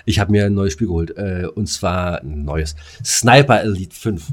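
Man, deep voice, German accent, casual podcast chat, rambling, slangy. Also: moderate pace. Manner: rambling, slangy, jumps between thoughts. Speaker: podcast co-host.

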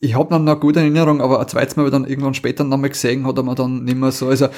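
A man's voice: mid-range voice, level tone, rapid-fire, darkish tone. A man with an Austrian accent chatting casually as a podcast co-host, rambling and repeating his words as he goes. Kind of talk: casual chat.

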